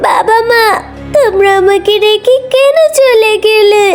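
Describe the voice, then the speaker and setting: A woman's voice: extremely high pitch, unhurried. A woman voicing a meek, wistful orphan girl in a children's cartoon story, with a plaintive, childlike manner. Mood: sad. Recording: music behind the voice.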